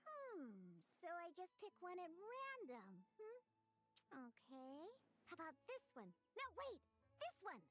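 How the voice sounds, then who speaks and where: A woman's voice: very high-pitched, wildly swooping pitch, slow, heavily acted. A woman voicing a feisty, distrustful little cat girl in a video game, with a childlike, pouty and emphatic delivery. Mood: hesitant. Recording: game music behind. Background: game music.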